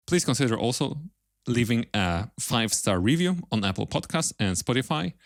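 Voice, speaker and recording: A man's voice: mid-range voice, dry recording. Male podcast host, dry close sound. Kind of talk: scripted podcast introduction and interview.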